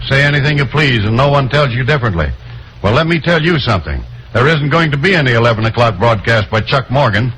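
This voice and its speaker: An actor playing a stern, blustering male radio station boss in an old-time radio drama, speaking with forceful authority. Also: mid-range voice, animated, quick pace, natural voice. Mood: stern, angry. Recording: noisy vintage radio recording.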